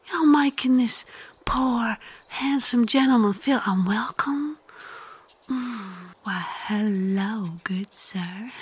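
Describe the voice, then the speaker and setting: A woman's voice: medium pitch, breathy edge, no room sound. A woman playing a flirtatious rural Southern wife and mother in a comedic western audio drama, coy and folksy, with a Southern US accent. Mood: coy, welcoming.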